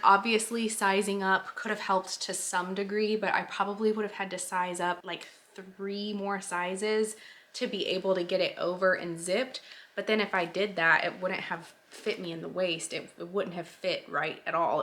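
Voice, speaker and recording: A woman's voice: mid-range voice, sharp tone. A woman in her late twenties giving a casual clothing try-on review, chatty with lots of fillers, a bit stuffy from a sinus infection. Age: 20s. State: nasal, recovering from a sinus infection. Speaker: fashion vlogger.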